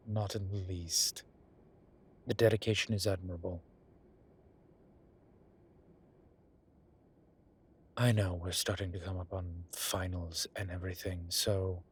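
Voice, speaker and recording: A man voicing a flirtatious, smitten demon professor in an intimate audio roleplay, husky and breathy, with halting, wry phrasing. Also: sits low, a bit bright, full character voice. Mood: wry, gently concerned. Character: teasing, smitten male incubus demon professor. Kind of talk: intimate one-sided audio roleplay acting.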